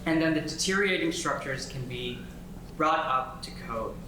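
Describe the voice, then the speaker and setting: A man's voice: a little high, normal speed. A young man from North Carolina giving a student project presentation. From North Carolina, United States. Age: young adult. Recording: very echoey room with clear noise.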